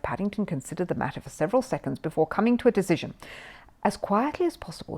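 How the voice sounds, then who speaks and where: A woman narrating a children's storybook expressively, with dramatic phrasing and suspenseful pauses. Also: medium pitch. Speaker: storyteller reading a children's book aloud.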